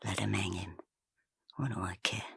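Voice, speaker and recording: An actress playing a callous, gloating villainess in a period audio drama, in a hoarse, whispery hush. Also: female voice, extremely low pitch, steady tone, moderate pace, no room sound, clean studio sound, full character voice.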